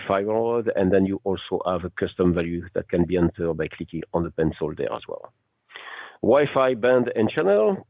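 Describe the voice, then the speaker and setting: A man who speaks English as a second language gives an unscripted, step-by-step software demo in an instructional manner. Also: fairly low pitch, gravelly, dry recording. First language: not English. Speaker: product demonstrator. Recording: narrow phone-like band.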